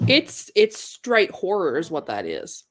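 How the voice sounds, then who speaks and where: A woman with an animated voice that moves freely up and down in pitch. Her talk is casual, blunt and teasing, in the manner of a book reviewer chatting with other hosts. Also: measured pace. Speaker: book reviewer co-hosting a live chat. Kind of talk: casual chat.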